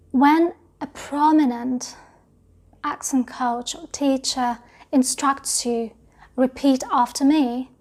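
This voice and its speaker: A woman, an English teacher who is not a native speaker, speaks in a learned British accent and gives a measured, deliberate lesson to camera. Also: sits high. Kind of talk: scripted teaching talk to camera.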